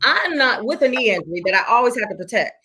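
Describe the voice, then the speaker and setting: A woman's voice: a little high, lively intonation, fast talker, pushed and bright, a little hiss or hum. A woman in her forties, a fitness instructor, chatting enthusiastically and repeating herself for emphasis. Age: 40s. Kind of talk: casual chat.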